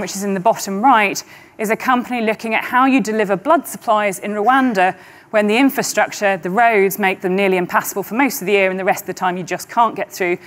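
Middle-aged woman on a conference stage, calm persuasive pitch, mid pitched, steady. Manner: measured, confident, persuasive delivery. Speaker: investment trust client director presenting. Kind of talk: conference presentation. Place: large conference auditorium stage.

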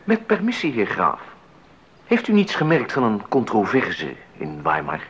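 An actor playing a deferential, well-informed court musician in a 1980s radio play, speaking formally and with measured care. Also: male voice, on the low side, huge pitch swings, fairly bright tone, a little hiss or hum, full character voice. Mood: discreet, knowing. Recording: dull 1980s radio recording.

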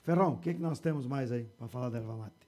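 A man's voice: medium pitch, fast talker, a little mellow. A man in his forties with a gaúcho accent from southern Brazil, hosting a local studio show and chatting casually with viewers. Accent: Rio Grande do Sul gaúcho Portuguese. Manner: chatty, sprinkles "tá?" and "né?". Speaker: TV show host. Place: small TV studio with banners.

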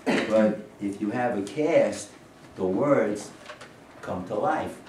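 An older man with a lowish voice addresses an audience informally as the director of a staged reading. He is emphatic and rhetorical, often tagging his points with a check for agreement. The recording is roomy, picked up from a distance with faint noise. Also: takes it slowly. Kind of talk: informal welcome speech to an audience. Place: medium-sized indoor rehearsal room with audience. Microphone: camera several metres away.

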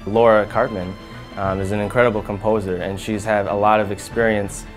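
A young man with a Midwestern American accent chats in a relaxed way, full of fillers, in a fairly low voice. He was recorded in a studio room. Music plays clearly underneath. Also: a little fast. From Michigan, USA. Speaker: music student. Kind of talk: casual interview.

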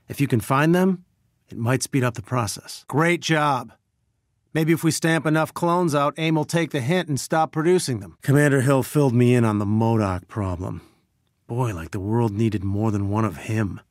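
An actor playing an earnest, brainy scientist and weary resistance leader, giving articulate, measured mission briefings in a video game. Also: male voice, mid-range voice, no echo, clean studio sound, lightly acted. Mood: friendly, businesslike, then concerned, businesslike.

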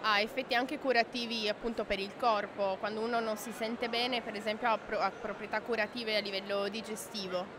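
A young woman with a mid-range voice interprets an interview, filling pauses as she hunts for words. She speaks into a handheld mic in a busy fair hall, with background noise clearly audible. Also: medium pitch, steady tone. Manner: fillers while searching for words. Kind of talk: interpreting Spanish to Italian.

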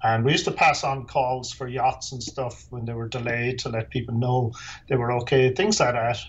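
A man's voice: medium pitch, fairly even intonation, normal speed, dull audio. A middle-aged man with an Irish accent, a former lighthouse keeper answering interview questions in a plain, matter-of-fact way with dry humor. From Ireland.

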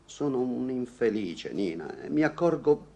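An actor playing an awkward, earnest gentleman newly in love, speaking theatrically in a vintage Italian radio comedy. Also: mid-range voice, big pitch swings, measured pace, vocal fry, full character voice. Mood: troubled, tender. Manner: theatrical, hesitant declarations.

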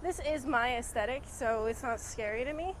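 A woman's voice: a little high, normal speed, slight background noise. Firm young woman talking outdoors. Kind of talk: casual chat. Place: outdoors in a sunny cemetery.

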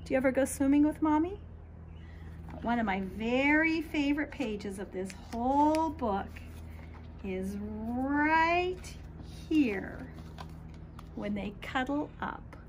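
High, sing-song American woman reading to children. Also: animated, slow pace. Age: middle-aged. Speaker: children's read-aloud host, teacher. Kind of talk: expressive picture-book read-aloud for young children. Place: small backyard patio, outdoors. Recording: light outdoor background noise.

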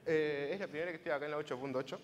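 A man's voice: on the high side, fairly even intonation, racing, noisy background. Young Argentine man in a reverberant hall. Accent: Argentine Spanish. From Córdoba province, Argentina. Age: 20s. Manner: casual, colloquial storytelling. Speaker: conference speaker, software engineer. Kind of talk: informal conference presentation. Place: large auditorium stage, indoors. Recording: reverberant hall sound from a stage.

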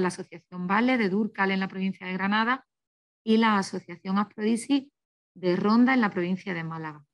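A woman gives a prepared conference talk haltingly, slightly muffled by a face mask. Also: mid-range voice. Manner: halting, with repeated words and pauses. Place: small office, indoors. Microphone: video call computer microphone, through mask. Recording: dull lo-fi video-call audio.

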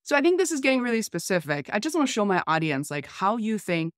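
A woman's voice: mid-range voice, sing-song, fast, dry recording. Chatty woman host, dry close sound. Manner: chatty, thinking aloud. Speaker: host demonstrating an app. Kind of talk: casual chat to camera and phone. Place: small home room, indoors.

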